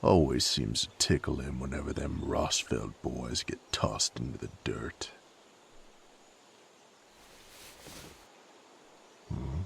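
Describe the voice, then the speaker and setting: Gruff, hot-tempered rustic knight, played by a man, audio drama; unhurried drawl, gravelly, sardonic. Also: very deep voice, heavily acted. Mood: wry, teasing. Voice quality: gravelly, husky. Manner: folksy drawl with rustic slang. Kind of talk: character audio roleplay acting.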